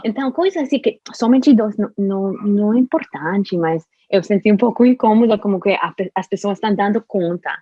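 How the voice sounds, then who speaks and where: A young woman, a native Spanish speaker, talking in an interview about learning Portuguese, with careful, halting speech and restarts. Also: mid-range voice, dark tone, husky, dry recording, lo-fi sound. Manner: slow, careful speech with frequent restarts and laughs. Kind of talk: casual conversational interview.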